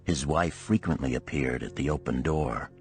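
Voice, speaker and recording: A man with a very low voice narrates a story in a formal, measured way. Faint music plays underneath his scripted reading. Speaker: audiobook narrator.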